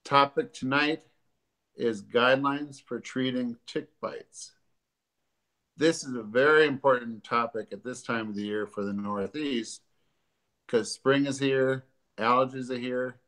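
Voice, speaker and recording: A man, hosting a live stream as a doctor from his living room, a bit congested, pausing often with ums and uhs. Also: mid-range voice, a bit bright. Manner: halting, many ums and uhs. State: congested from allergies. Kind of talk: casual educational talk. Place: living room at home, sitting on a sofa. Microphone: webcam or laptop camera at desk distance.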